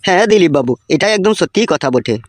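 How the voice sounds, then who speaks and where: A man's voice: high voice, lively intonation, quick pace, no room sound. A man voicing a cheeky, foul-mouthed village friend in a comic cartoon, with a rural West Bengal accent and an insistent, teasing way of talking. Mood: blunt. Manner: crude, swearing, teasing and insistent.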